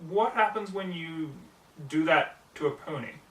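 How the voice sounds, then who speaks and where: Eager, refined mare played by a man, pitched up, ladylike storybook pupil, roomy distant recording. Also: unhurried, fairly bright tone. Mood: curious. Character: refined, eager female unicorn pony, excitable pupil. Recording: roomy, distant mic, faint noise.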